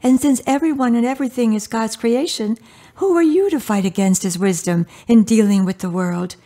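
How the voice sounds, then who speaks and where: Devout mother played by a woman, mellow soft-edged voice, patient, earnest, gently preachy, radio drama. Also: a little high, normal speed, natural voice. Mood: earnest conviction. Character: devout, gentle older mother comforting her grown daughter.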